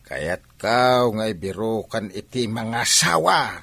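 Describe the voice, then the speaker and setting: Older village husband, radio comedy, wistful grumbling, forceful tone, drawn-out swooping delivery. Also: male voice, lowish voice, creaky, no room sound, exaggerated voice. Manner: expressive, drawn-out comic grumbling. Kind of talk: radio comedy drama acting.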